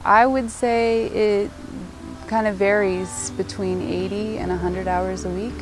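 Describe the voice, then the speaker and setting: A woman's voice: mid-range voice, fairly even intonation, unhurried, low-quality recording. A young woman farmer speaking earnestly in an interview, with a few fillers. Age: young adult. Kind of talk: casual interview. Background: music.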